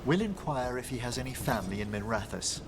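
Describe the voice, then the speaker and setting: An actor playing a courtly, scholarly necromancer professor in a video game, speaking formally, politely and in measured phrases. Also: male voice, mid-range voice, expressive, echoey room, heavy background noise, lightly acted. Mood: composed. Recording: game audio under music.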